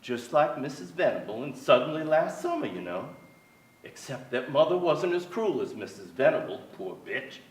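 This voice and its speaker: A man's voice: medium pitch, sing-song, normal speed, close to an everyday voice. An echoey, distant stage recording of an actor as an aging playwright, wistful and wry. His reflective delivery moves expressively up and down as he reminisces about family with theatrical deliberation. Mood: wry, fond. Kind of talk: staged reading of a reminiscing monologue. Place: theater stage before an audience.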